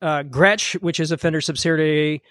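A man's voice: a little high, brisk, no room sound, studio quality. A man co-hosting a casual podcast, rambling loosely with plenty of fillers while reading show announcements. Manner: relaxed, rambling with many fillers. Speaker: podcast co-host. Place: home studio during a live stream.

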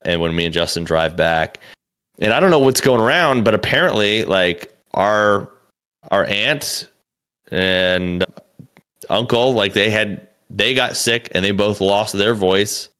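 A man's voice: fairly low pitch, unhurried, a bit bright, clean tone. A young man, a comedian and podcast co-host, telling a story in a loose, rambling way, full of "like" and restarts, with casual swearing. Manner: rambling, lots of "like" and restarts, profane.

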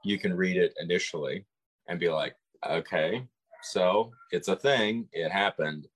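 A man co-hosting a podcast, talking in a measured, thoughtful way with frequent restarts. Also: low-pitched, fairly bright tone, husky, poor audio. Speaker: podcast co-host. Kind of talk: conversational discussion of folklore.

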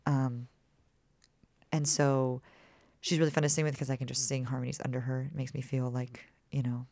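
Low-voiced chatty woman musician. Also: little pitch movement, moderate pace, creaky, warm tone, no echo. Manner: chatty, peppered with "like" fillers. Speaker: musician guest. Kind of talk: casual interview chat.

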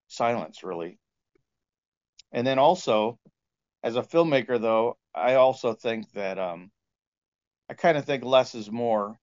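A man speaking as a composer and sound engineer, explaining his approach in a halting, informal interview manner. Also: mid-range voice, smooth. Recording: dull lo-fi audio.

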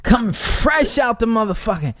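A man with a high voice hosting a casual radio chat, animated and profane, giving shout-outs and often repeating himself. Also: normal speed, dry recording, studio quality. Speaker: internet radio host.

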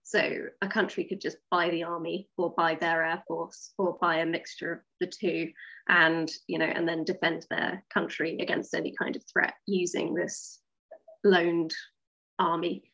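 A woman with a slightly low voice talks as a writer being interviewed. She explains in a casual, piecemeal way. The recording is a bit muffled and lo-fi. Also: level tone, measured pace, creaky, a bit bright.

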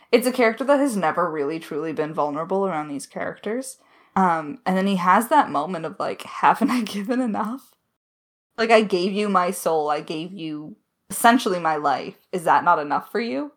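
Casual podcast co-host: woman, very expressive range, effusive and giggly, answering listener questions. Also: fairly low pitch. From Alberta, Canada. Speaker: podcast co-host, audio drama creator.

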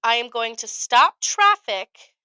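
Bright-voiced young American woman telling stories. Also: on the high side, slow pace, smooth. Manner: animated retelling with acted-out quotes, frequent profanity. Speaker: podcast co-host. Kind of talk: casual chat, storytelling.

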